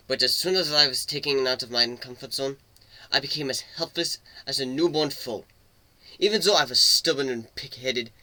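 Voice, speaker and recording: A man acts out a proud male crew member humbled by remorse, earnest and self-blaming. His voice is very bright and tense, with a dry sound, in a fantasy storybook reading. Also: medium pitch, no echo, low-quality recording. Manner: earnest, confessional. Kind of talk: dramatic reading of fan fiction.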